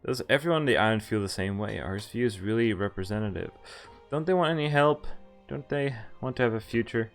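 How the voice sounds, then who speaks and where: A man streaming a game, reading a visual novel aloud in expressive first person with casual, offhand asides. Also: fairly low pitch, a little fast, gravelly, few pauses. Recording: music behind the voice. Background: game music.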